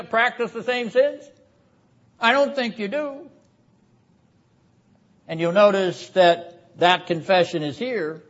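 A man lecturing on the Bible in a classroom, explaining didactically with rhetorical questions and frequent throat clearing. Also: on the high side. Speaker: seminary lecturer. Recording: slightly dull classroom recording.

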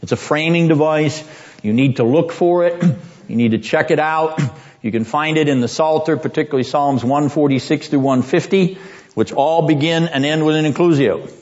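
A man teaching a seminary class on biblical poetry, deliberate and emphatic, repeating his points and often clearing his throat. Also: fairly high pitch, a little mellow. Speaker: seminary lecturer. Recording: dull lo-fi classroom recording.

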